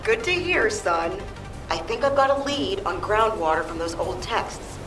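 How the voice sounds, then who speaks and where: A woman's voice: mid-range voice, lively intonation, unhurried, close to an everyday voice. A woman voicing a caring, teasing mother of a grown son in a video game, heard echoey and distant over music. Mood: affectionate teasing.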